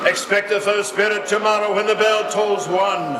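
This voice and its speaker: A roomy, noisy live radio-play recording of a mournful chained ghost acted by a man. The voice is high and slow, declaiming every phrase with solemn theatrical weight. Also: fairly even intonation, natural voice. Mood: grave, foreboding. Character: mournful chained male ghost of a dead businessman. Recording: roomy live stage with clear noise.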